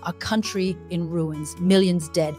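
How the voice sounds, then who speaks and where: A woman's voice: on the low side, fairly even intonation, unhurried, dark tone, faint music behind, close to an everyday voice. A woman playing a defiant young android woman. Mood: resolute. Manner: resolute, defiant. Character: determined young woman, android with free will.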